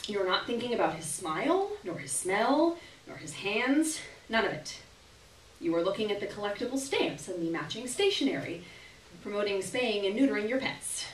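A young adult woman performing a short story aloud in a live, expressive literary reading with deliberate pauses. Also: mid-range voice. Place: small gallery room, live stage reading. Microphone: stand microphone, recorded by distant camera. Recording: roomy, distant camera audio with hiss.

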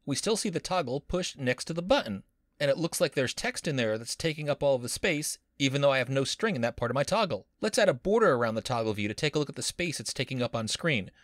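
A man's voice: medium pitch, dry recording, clean studio sound. A man teaching a screen-recorded coding lesson as a university instructor, upbeat and playful with jokey wordplay.

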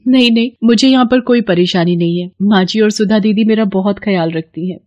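A woman voicing a meek, sweet, long-suffering young daughter-in-law in an animated moral story, polite and plaintive in manner. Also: medium pitch, brisk, no echo, dull audio, close to an everyday voice.

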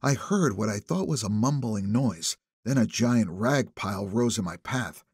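A man narrating a thriller audiobook in the first person, with expressive, suspenseful phrasing. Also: lowish voice, a little slow, slightly rough, no echo, studio quality.

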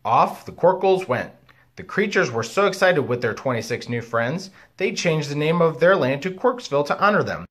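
Expressive man reading to children, lively pitch. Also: moderate pace. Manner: expressive, exaggerated emphasis for children. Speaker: teacher reading a children's book aloud. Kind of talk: animated children's storybook reading. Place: living room, indoors.

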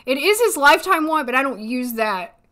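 A woman in her thirties, hoarse today, chatting casually and thinking aloud as she streams a life-sim game from a desk at home. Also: sits high, animated, brisk, pushed and bright. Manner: chatty, thinking aloud, frequent little laughs. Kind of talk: casual gameplay commentary. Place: home room, seated at desk.

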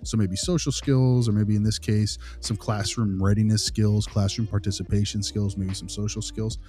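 A man with a dark, mellow voice talks as a behavior analyst in a role-played conversation. He explains things politely in hesitant phrases, over faint music. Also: fairly low pitch, fairly even intonation, normal speed. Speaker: behavior analyst, podcast host. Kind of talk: improvised role-play phone conversation.

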